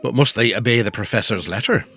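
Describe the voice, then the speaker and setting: A man's voice: on the low side, quick pace, bright, forceful tone, soft background music. A man reading scripted narration for a gothic audio drama.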